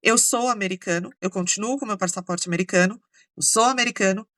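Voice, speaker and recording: A woman with a Brazilian accent hosting a relaxed chat and telling a story with many fillers. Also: mid-range voice, quick pace, no room sound, studio quality. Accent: Brazilian Portuguese. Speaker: host. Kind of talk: casual conversation.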